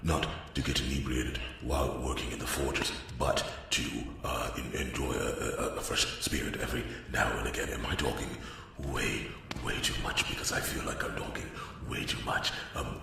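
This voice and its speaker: A man playing a chatty, bashful dwarf blacksmith in an ASMR roleplay, rambling and stammering as he corrects himself in a gravelly voice. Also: fairly even intonation, normal speed. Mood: nervous, bashful. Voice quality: very deep, gravelly. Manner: rambling, stammering, flustered repetitions and self-corrections. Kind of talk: ASMR roleplay character monologue. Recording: very echoey room, distant, faint noise.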